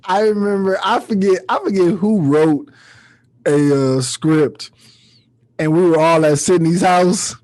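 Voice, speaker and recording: A man's voice: high-pitched, unhurried, a little mellow, no echo. A man, a podcast host, in casual, joking conversation. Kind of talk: casual comedic chat.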